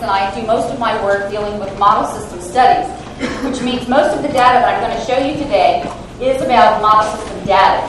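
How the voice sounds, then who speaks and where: A woman's voice: medium pitch, slight background noise. Woman lecturing in a very echoey hall. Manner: hesitant, self-deprecating, with fillers. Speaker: guest lecturer, lab scientist. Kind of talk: academic lecture. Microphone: handheld microphone. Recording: echoey hall, mic far off.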